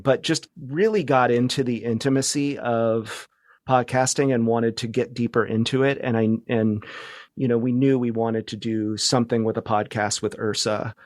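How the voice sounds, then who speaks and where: A man's voice: mid-range voice, dry recording, clean studio sound. A middle-aged man chatting informally and discursively as a guest in a casual interview. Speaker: interview guest, podcast producer.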